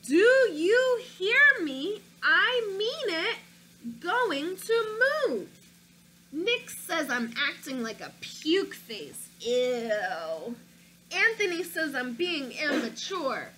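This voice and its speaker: A woman teacher reading a picture book aloud to children, with an expressive storytelling delivery, stopping to ask her young listeners questions. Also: very high-pitched, extremely slow, a little hiss or hum.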